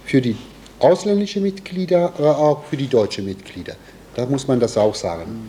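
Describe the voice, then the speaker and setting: Slow, halting man with a mellow voice. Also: medium pitch, slight background noise. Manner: halting, hesitant, searching for words. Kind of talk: spontaneous critical statement at a meeting.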